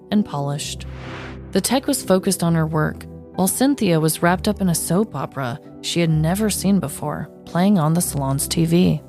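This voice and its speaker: A woman with a fairly low voice hosts a comedy podcast. She tells scripted true-crime tales and chats casually, with faint music at times behind her. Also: a little mellow. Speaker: podcast co-host.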